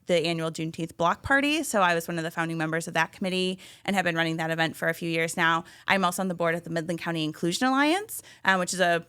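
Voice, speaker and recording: Woman in her 30s, lowish voice, easy chatty interview guest, laughs a lot. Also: fairly bright tone, clear, resonant tone. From Western Massachusetts, raised in Michigan. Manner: chatty, laughs often, self-deprecating. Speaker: guest, arts administrator. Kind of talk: casual interview chat.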